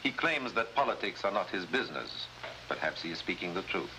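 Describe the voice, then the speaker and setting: An actor playing a formal, cautious head of government, in measured 1950s drama style. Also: male voice, low-pitched, big pitch swings. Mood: grave, cautious. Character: grave, formal Latin American head of government. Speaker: actor in a supporting role. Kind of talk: 1950s spy drama acting. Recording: noisy vintage 1950s broadcast recording.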